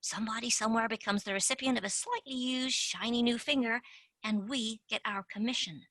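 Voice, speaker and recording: A woman playing a pushy, scheming young female tooth fairy agent in a stage play, fast-talking and dropping into conspiratorial whispers. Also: on the high side, pushed and bright, no room sound, studio quality. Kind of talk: amateur stage-play acting.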